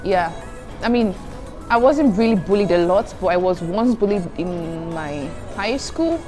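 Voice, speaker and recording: Chatty young woman, lowish voice. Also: lively intonation, unhurried, darkish tone. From Nigeria. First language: Igbo. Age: young adult. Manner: chatty, addresses viewers as guys, giggles between answers. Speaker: student vlogger answering questions. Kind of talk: casual chat to viewers. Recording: background music under voice.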